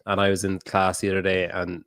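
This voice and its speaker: A man's voice: low voice, completely flat delivery, a little fast, fairly bright tone, no room sound, studio quality. A man hosting a podcast in loose, casual chat, rambling and swearing freely as he reads sponsors and talks with his guest. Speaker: podcast host.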